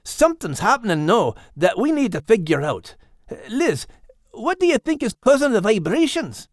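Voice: mid-range voice, very animated, normal speed, no echo, clean studio sound, natural voice. An actor voicing a plucky little male Scottish dog in a children's audio drama, with a folksy, spirited manner. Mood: concerned, earnest. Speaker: co-host and character in an animal story podcast.

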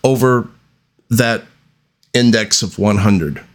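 A man hosting a podcast alone, talking off the cuff in a deliberate, halting way with long pauses between phrases. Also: on the low side. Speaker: podcast host. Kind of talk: unscripted solo podcast monologue.

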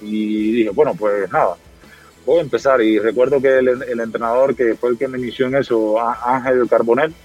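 A Cuban man in his thirties, a podcast guest, telling his story in a relaxed, rambling way with many fillers. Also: mid-range voice, normal speed, breathy edge, dull audio, faint music behind. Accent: Cuban Spanish. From Cuba. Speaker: podcast guest, former athlete. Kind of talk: casual interview conversation.

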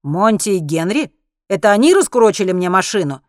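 A woman voicing an irritable, grudging man with a gruff, snappish manner in an audiobook. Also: mid-range voice, big pitch swings, brisk, no echo, clean studio sound, pitched above the performer's own voice, lightly acted. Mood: irritated, questioning. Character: irritable, foul-mouthed man, exasperated and grudging. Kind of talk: acted audiobook dialogue.

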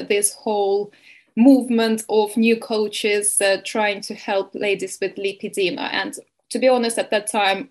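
A woman from Estonia, a young nutrition coach, speaks slowly over a video call. She hesitates often, filling gaps with ums and starting sentences over. Her pitch sits in the middle of the range. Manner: hesitant, many fillers and restarts. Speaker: keto coach and nutrition advisor. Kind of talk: webinar interview.

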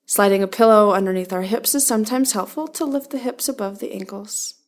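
A woman leading a guided body-scan meditation, giving calm, gentle instructions in short, spaced phrases. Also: mid-range voice, brisk.

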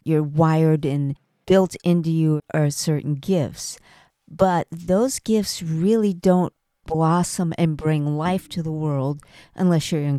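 A woman with a deep, mellow voice talks earnestly as a podcast co-host. The sound is close and dry. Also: no room sound. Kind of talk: casual, earnest faith discussion.

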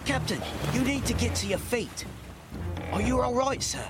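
A man's voice: extremely high pitch, big pitch swings, normal speed, over loud music. A man playing a loyal, dutiful first officer on a sailing ship in an audio drama, formal and deferential in manner. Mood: alarmed, pleading. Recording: loud ship and sea ambience behind.

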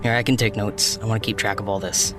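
A man's voice: on the low side, steady tone, fast, over music, lightly acted. An actor playing a blunt, frustrated man on the run who plans for his team in an audio drama. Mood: focused.

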